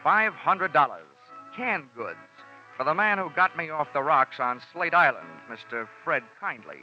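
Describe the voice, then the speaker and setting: An American actor playing a tough, wisecracking insurance investigator with a wry, snappy delivery in an old radio detective drama. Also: male voice, fairly high pitch, very animated, moderate pace, pushed and bright, faint music behind, exaggerated voice. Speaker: lead character and narrator. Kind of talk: 1950s radio detective drama, hardboiled narration. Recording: dull vintage radio broadcast recording.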